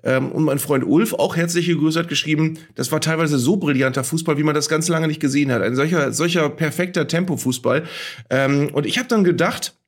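A man's voice: a little high, fairly quick. A middle-aged man talking reflectively and conversationally as co-host of a football discussion. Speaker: podcast co-host.

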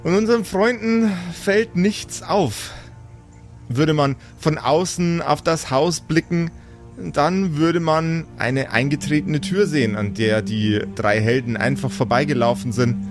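A man's voice: a little high, unhurried, faint music behind. A man as game master, improvising the story of a tabletop role-playing podcast in a playful, teasing way.

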